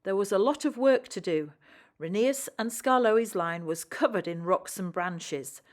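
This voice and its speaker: A woman's voice: mid-range voice, unhurried. A woman storyteller reading a children's story expressively and talking to young listeners.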